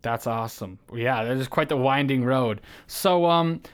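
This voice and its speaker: Guy doing a laid-back interview, average pitch, informal and kinda halting phrasing. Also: clear, resonant tone. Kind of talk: casual chat.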